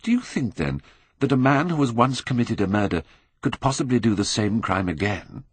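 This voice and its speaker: Man as a brooding young British gentleman, husky. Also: mid-range voice, big pitch swings, moderate pace, no room sound, pitched above the performer's own voice. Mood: probing.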